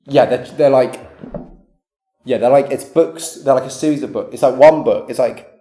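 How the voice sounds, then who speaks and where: A man's voice: mid-range voice, moderate pace, warm tone, echoey room. A man co-hosting a podcast in mock-earnest fan banter, gushing, stammering and repeating himself as he goes. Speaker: podcast co-host.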